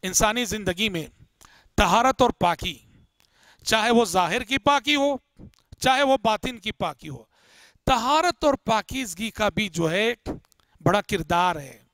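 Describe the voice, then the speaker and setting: A middle-aged man delivering a religious lecture, slowly reciting Arabic prayers and explaining them with emphatic repetition, recorded on a headset mic indoors. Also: high voice, expressive, a bit bright, dry recording. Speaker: Islamic lecturer. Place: indoor room with curtained window.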